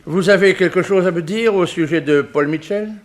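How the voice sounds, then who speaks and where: An actor playing a shrewd, dry examining magistrate in a 1960s French radio drama, speaking formally and with authority. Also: male voice, high voice, normal speed, natural voice. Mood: dry, brisk.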